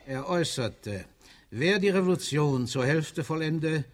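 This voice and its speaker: An actor playing a sardonic, commanding party leader in a radio drama, moving between barked orders and dry muttered remarks. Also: male voice, on the high side, animated, measured pace. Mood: official, dictating.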